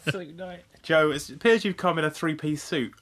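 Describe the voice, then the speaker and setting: A man's voice: fairly high pitch, normal speed, a bit bright. A British man co-hosting a podcast over a call, chatting casually and swearing, with a drily joking manner. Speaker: podcast co-host. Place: indoors, recording remotely over Skype. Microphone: podcast microphone.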